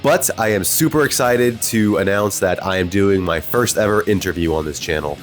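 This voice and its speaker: A man's voice: low-pitched, moderate pace, soft background music. A man with a nasal, congested voice from being sick, talking as the host of a fan interview channel. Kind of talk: enthusiastic fan interview and vlog talk.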